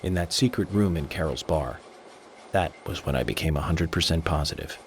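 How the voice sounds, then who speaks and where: Low-voiced, composed American detective. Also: male voice, lively intonation, a little hiss or hum. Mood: calm, certain. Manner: measured, deliberate, explanatory. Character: composed, confident male FBI agent detective. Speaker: voice actor in a video game. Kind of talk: dramatic game cutscene acting.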